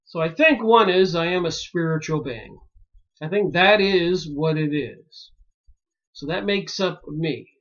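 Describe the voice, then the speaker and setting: A man from Pennsylvania musing aloud in a rambling solo monologue, pausing often and filling gaps with "uh". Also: fairly high pitch, animated, fairly bright tone. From Pennsylvania, United States. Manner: slow and musing, many pauses and fillers. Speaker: video commentator. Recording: dull lo-fi recording.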